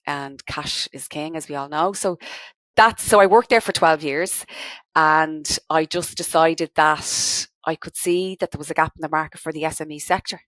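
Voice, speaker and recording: Low-voiced Dublin woman, chatty. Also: normal speed, fairly bright tone, no echo. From Dublin, Ireland. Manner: chatty, explains step by step. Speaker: guest, credit management business owner. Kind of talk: podcast interview.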